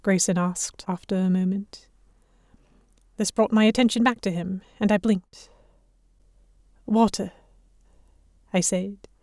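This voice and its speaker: A woman playing a restless, observant young heroine, narrating a pirate story in the first person in a measured, literary manner. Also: medium pitch, steady tone, dark tone, no room sound, clean studio sound. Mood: reflective. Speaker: narrator and lead actor.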